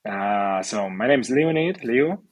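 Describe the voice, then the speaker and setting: A man from Ukraine in his thirties, a podcast guest speaking English as a second language and pausing to search for words. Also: medium pitch, lively intonation, no echo, clean studio sound. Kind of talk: podcast interview.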